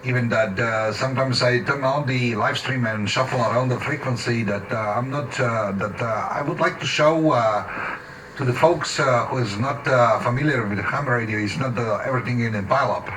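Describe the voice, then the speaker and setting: A man chatting haltingly as an amateur radio operator in his home shack. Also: medium pitch. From Slovenia. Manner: halting, hesitant phrasing. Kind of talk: casual radio chat. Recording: distant mic, faint shack noise.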